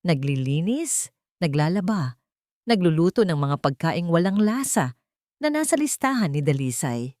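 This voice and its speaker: A Filipino woman narrates a dramatic audiobook. Her voice sits slightly low and moves with very lively intonation, theatrical and deliberate, in a dry recording. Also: no room sound. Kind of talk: dramatic scripted story narration.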